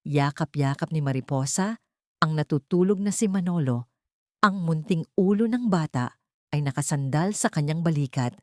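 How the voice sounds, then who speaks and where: A woman's voice: deep voice, no echo, studio quality. A woman with a Filipino accent reading a dramatic story as an audiobook narrator.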